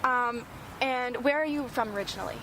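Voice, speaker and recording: A woman's voice: a little high, brisk. A young woman interviewing people on the street, asking her questions hesitantly with many fillers and restarts. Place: outdoors on a busy city street. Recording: street traffic noise behind.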